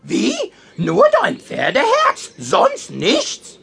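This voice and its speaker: A muddle-headed, excitable owl from a children's audio drama. The delivery swings wildly and takes its time, with a bright, pushed tone and an air of naive self-importance. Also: high-pitched, very slow, gravelly, soft background music, full character voice. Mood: naive, eager. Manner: exaggerated, naive, know-it-all.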